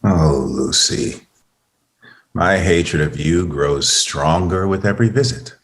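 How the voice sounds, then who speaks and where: Deep-voiced, solemn adversary for a TV drama, full of menace and hatred. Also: male voice, slow. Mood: menacing. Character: menacing, hateful brother of the devil, solemn. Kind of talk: scripted table read.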